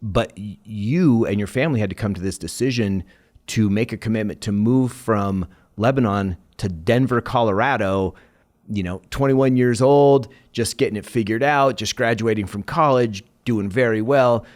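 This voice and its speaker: A man's voice: lowish voice, normal speed. A man hosting a podcast interview, enthusiastically praising his guest and asking long, winding questions. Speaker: podcast host.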